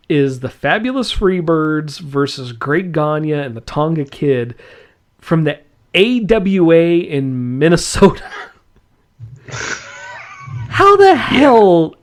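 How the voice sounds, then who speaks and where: A man hosting a podcast, chatting casually and enthusiastically. He talks at an unhurried pace with a lively, bouncing pitch, rambling along and laughing often. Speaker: podcast host.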